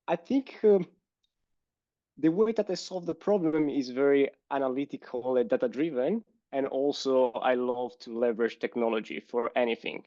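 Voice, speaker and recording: A man with an Italian accent answering interview questions in a thoughtful way, pausing often with "uh". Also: mid-range voice, warm tone, no room sound. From Italy. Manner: thoughtful, hesitant with frequent "uh" pauses. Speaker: guest. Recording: slightly dull lo-fi sound.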